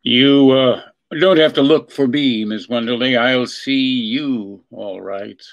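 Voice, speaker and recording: A man's voice: mid-range voice, slow pace, creaky, dull audio. An actor playing an eager, smitten male detective partner in an old-time radio drama, bumbling and ingratiating.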